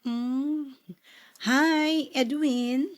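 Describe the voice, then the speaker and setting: A woman's voice: high-pitched, steady tone, very slow, dry recording, studio quality. A woman with an Ilocano accent acting a coy, demure young lady in a radio drama. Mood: shy. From Philippines.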